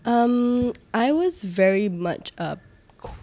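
A woman's voice: on the high side, animated, slow pace, warm tone. A young woman from Singapore, chatting as an interview guest, hesitant with many ums and likes, often giggling. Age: 20s. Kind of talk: casual interview chat.

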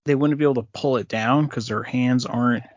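A woman's voice: very deep voice, fairly even intonation, a little fast, a little mellow, no echo, muffled recording. A woman co-hosting a casual podcast, hesitant, often searching for words. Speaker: podcast co-host. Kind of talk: casual chat.

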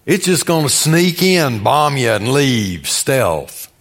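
A man's voice: medium pitch, sing-song, slow, a bit bright. An American man preaching a sermon in a folksy, conversational way, telling stories, repeating phrases for emphasis and asking rhetorical questions.

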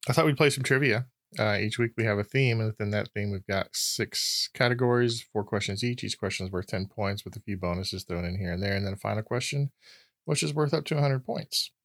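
Halting man explaining quiz rules. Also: mid-range voice, a bit bright, dry recording, clean studio sound. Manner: halting, restarts often. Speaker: quizmaster. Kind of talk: casual chat, explaining rules.

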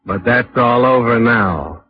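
A man's voice: mid-range voice, expressive, takes it slowly. A male actor playing an ominous, taunting storyteller who narrates a 1940s radio thriller in the second person. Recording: muffled vintage radio broadcast with music.

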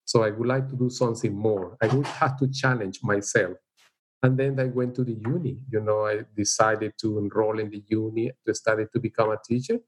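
A middle-aged man from El Salvador with a Salvadoran Spanish accent, speaking English as a second language in a casual interview, halting and unhurried, with frequent fillers. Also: on the low side, steady tone, slightly rough, rough recording. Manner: unhurried, halting, with frequent "you know" and light laughs. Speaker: interviewee, teacher. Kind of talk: casual life-story interview.

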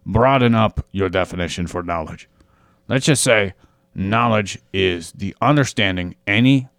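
A man's voice: low-pitched, fairly bright tone, no room sound, studio quality. A man hosting a casual podcast, explaining philosophy ideas and joking with friends. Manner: joking, then explaining at length. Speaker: podcast host.

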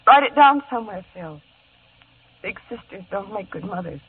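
A woman's voice: mid-range voice, lively intonation, moderate pace, lightly acted. An actress in a 1950s radio play, voicing an anxious, protective young woman who pleads in distress. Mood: distraught. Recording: tinny old radio broadcast with faint hiss.

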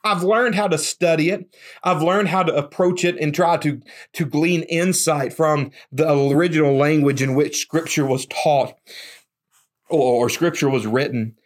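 A man's voice: fairly high pitch, measured pace. A man preaching a conversational, emphatic sermon, often repeating words as he starts a thought. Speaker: pastor.

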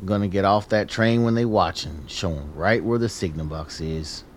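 An actor plays a gruff, grudging man who runs a safe house in a radio drama. His voice sits low, and he talks in blunt, folksy, clipped sentences, with faint noise behind him. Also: normal speed, lightly acted. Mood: gruff, annoyed.

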